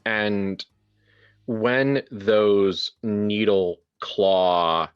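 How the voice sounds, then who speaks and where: A man running a horror tabletop game as game master, narrating deliberately and haltingly with casual asides. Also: lowish voice, steady tone, clean tone, highs cut off, soft background music.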